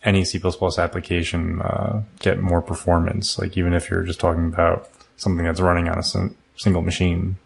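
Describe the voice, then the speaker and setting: A man's voice: sits low, little pitch movement, fairly quick, creaky, breathy edge. A man co-hosting a tech podcast, reading a guest introduction and news items with frequent fillers. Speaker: podcast co-host. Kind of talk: reading intro, casual chat.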